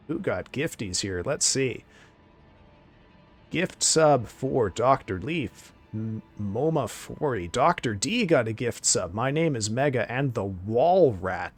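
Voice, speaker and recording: A man chatting casually on a live stream, excitable and thanking viewers, his voice getting hoarse. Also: mid-range voice. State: losing his voice.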